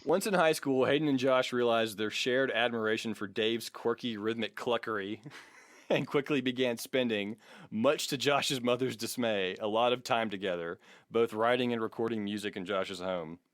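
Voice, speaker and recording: A man's voice: mid-range voice, moderate pace, dry recording, studio quality. An American man co-hosting a comedy podcast, reading a biography with mock grandeur, swearing and slipping into casual banter. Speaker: podcast co-host.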